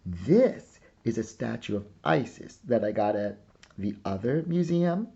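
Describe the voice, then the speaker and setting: A man's voice: medium pitch, expressive, unhurried, creaky, warm tone, husky, highs cut off, exaggerated voice. A man reading a storybook as a proud, eager little girl who earnestly and carefully plays museum guide. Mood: fond, explaining.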